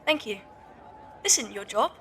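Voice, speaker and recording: A young Victorian beggar for an audio drama. She speaks plainly in a fairly high voice, as someone poor and homeless. Slight noise sits behind her. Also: lively intonation, a little slow, a bit bright, some chatter behind. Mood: weary, wondering.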